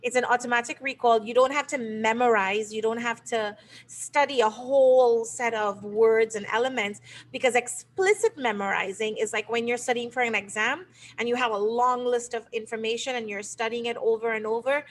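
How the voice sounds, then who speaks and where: A woman's voice: on the high side, normal speed. Teacherly woman from Trinidad and Tobago. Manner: explanatory, teacherly. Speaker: game creator and teacher. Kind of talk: interview answers.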